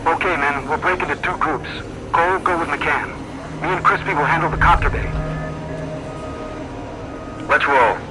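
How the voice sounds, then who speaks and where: An actor playing a brisk special-ops squad leader in a video game, with a clipped, take-charge delivery. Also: male voice, a little high, noisy background, close to an everyday voice. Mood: brisk, decisive. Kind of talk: scripted game cutscene acting.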